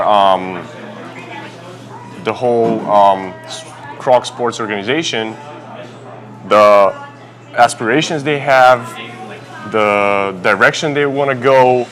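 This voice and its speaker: A young man from Serbia, a basketball player, answering interview questions in a halting way with many fillers and phrasing. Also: mid-range voice. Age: young adult.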